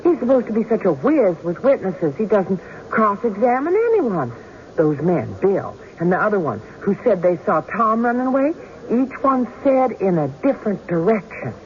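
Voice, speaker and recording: A woman's voice: mid-range voice, very animated, normal speed, natural voice. Actress doing worried folksy country lady, radio play, dull noisy old recording. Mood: fretful, indignant. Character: anxious, indignant, plain-spoken frontier woman. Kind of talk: 1970s radio drama acting.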